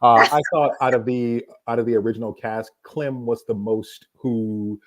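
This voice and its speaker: Guy on a fan panel, average pitch, casual back and forth. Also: steady tone, normal speed, low-quality recording.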